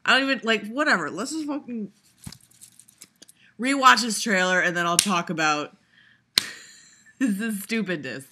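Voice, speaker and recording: A woman's voice: medium pitch, expressive, unhurried, pauses often, sharp tone, slightly rough. Swearing, sarcastic young woman vlogger. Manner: profane, sarcastic, rambling, frequent laughter and fillers. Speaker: vlogger reacting to a trailer. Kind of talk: casual reaction commentary.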